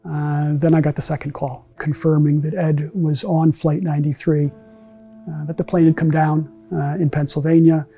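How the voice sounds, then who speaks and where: A middle-aged man giving a measured personal recollection in a documentary interview. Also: a little high, level tone, warm tone, breathy edge, soft background music. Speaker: bereaved brother interviewed. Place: large meeting room with empty chairs.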